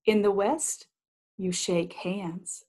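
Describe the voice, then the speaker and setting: Woman reading as a patient, slightly husky old great uncle. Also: medium pitch, fairly even intonation, extremely slow, a little mellow. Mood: patient, instructive. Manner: patient, instructive. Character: kindly, instructive old great uncle, worldly Japanese man.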